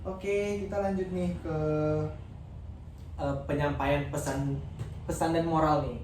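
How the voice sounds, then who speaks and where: A young man who hosts a casual student podcast, prompting the others and pausing often with hesitations. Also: a little high, darkish tone. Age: young adult. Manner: prompting, hesitant with frequent ehs. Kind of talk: casual discussion moderating. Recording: echoey room, distant mic, noisy.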